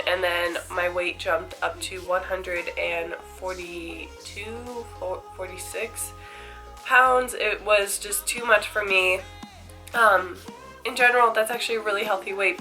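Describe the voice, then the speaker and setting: A woman's voice: mid-range voice, sharp tone. Stuffy-nosed young woman vlogging. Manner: chatty, candid, with fillers. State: congested from a cold. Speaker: fitness vlogger. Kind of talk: casual chat to camera.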